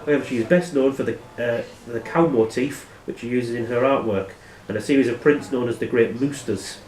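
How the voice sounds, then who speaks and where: A man's voice: medium pitch, brisk, darkish tone, slight background noise. Echoey, distant male quizmaster. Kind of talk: reading out quiz questions to players. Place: live in a pub. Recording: echoey room, distant microphone.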